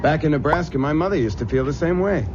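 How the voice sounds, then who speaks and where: An actor playing a sceptical, affectionate young American groom, wisecracking in slang in an old-time radio mystery. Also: male voice, a little high, animated, fast talker, a crowd in the background, lightly acted. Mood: teasing. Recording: dull, noisy old radio drama recording.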